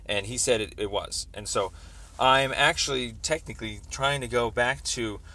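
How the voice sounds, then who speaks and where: A man on a recorded phone call explaining a complaint as a caller, in a halting, wordy, roundabout way. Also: medium pitch, bright, forceful tone. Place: telephone call.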